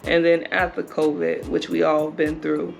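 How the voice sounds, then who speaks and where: Chatty Southern woman with gravelly voice. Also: low-pitched, level tone, moderate pace, faint music behind, low-quality recording. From South Carolina, US. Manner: upbeat, chatty. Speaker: co-host. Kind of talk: casual chat.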